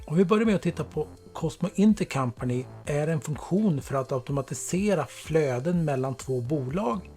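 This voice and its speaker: A man narrating a scripted software tutorial as a product presenter, in a plain, explanatory way. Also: on the high side, expressive, few pauses. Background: background music.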